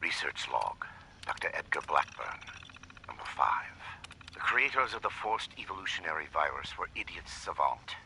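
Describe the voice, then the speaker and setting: Gravelly, cold, low-voiced scientist. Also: male voice, big pitch swings, sharp tone, slight background noise, heavily acted. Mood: scornful, bitter. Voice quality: gravelly, breathy. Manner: deliberate, measured, disdainful. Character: cold, arrogant, idealistic male scientist recording secret research logs. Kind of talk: dramatic video game audio log.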